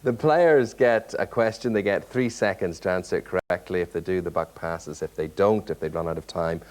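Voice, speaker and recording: A man's voice: sits low, normal speed, a little mellow. A man hosting a game show, explaining the rules and teasing the contestants in a chatty way.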